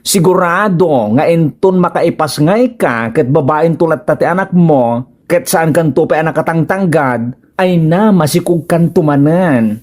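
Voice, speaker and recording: A woman with a Filipino accent playing a gossipy, teasing village friend in a comedy radio drama, with drawn-out phrasing. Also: deep voice, expressive, darkish tone.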